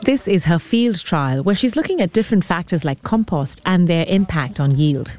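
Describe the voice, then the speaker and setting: Low-voiced woman narrating a feature. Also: animated, moderate pace, noisy background. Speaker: reporter narrating a radio feature. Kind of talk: scripted narration.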